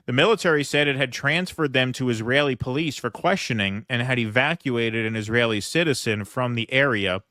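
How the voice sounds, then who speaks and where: Guy reading news off a script, bright voice, dead dry sound, lots of uhs. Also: mid-range voice, no echo. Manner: reads news aloud with frequent fillers. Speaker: political commentary show host.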